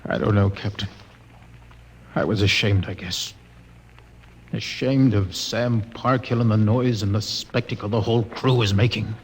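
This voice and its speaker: An actor playing an earnest, brooding, idealistic spaceman with a grave, halting delivery in a radio drama. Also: lowish voice, steady tone, husky, big-room sound. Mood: ashamed, bitter.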